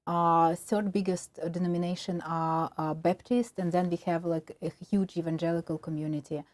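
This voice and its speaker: A woman's voice: on the low side, steady tone, moderate pace, darkish tone, no room sound. A Ukrainian woman, a native Russian speaker, answering interview questions with many fillers and restarts. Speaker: film producer and guest.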